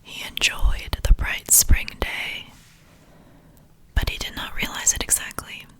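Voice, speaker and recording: A woman speaking in an unvoiced, breathy whisper in an ASMR style, gently unhurried and deliberate. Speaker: ASMR creator and poetry reader.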